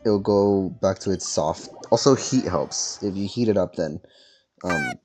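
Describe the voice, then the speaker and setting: A man's voice: low voice, unhurried, darkish tone, faint music behind. A man giving casual, rambling commentary as he streams a game. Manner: rambling, informal.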